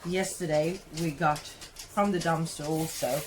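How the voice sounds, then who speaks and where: A Swedish woman speaking English with a Swedish accent, talking slowly and unhurriedly over her kitchen work. Her voice sits a little low, and she wanders and corrects herself as she chats. There is a faint hum of the room behind her. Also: fairly even intonation. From Sweden. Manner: rambling, self-correcting, chatty. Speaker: cooking vlogger. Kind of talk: casual cooking chat. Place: home kitchen, indoors.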